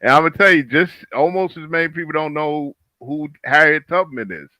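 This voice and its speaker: A man's voice: on the high side, brisk, pushed and bright, no echo. A man in a heated panel discussion, speaking emphatically and repeating his phrases for emphasis.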